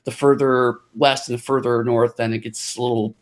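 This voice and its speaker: A man talking as a podcast guest in casual chat, halting and rambling with lots of restarts and fillers. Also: medium pitch, level tone.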